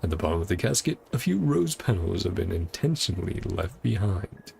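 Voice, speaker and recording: A man's voice: low-pitched, sing-song, brisk, gravelly, darkish tone, slight background noise. A man doing casual video game playthrough commentary, musing aloud and reading game text out as he goes. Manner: musing aloud, speculating to himself. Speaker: gaming content creator playing through a story quest.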